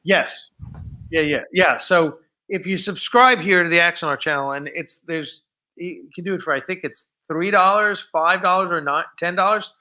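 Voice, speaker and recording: A man's voice: a little high, moderate pace, bright, forceful tone. A man hosting a live stream, chatting casually and off script in a rambling, enthusiastic way.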